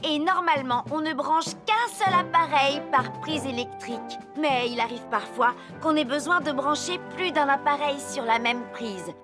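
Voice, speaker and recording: Earnest young cartoon girl, voiced by a woman, high and clear. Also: normal speed, hardly any pauses, lightly acted. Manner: careful, explanatory. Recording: music underneath.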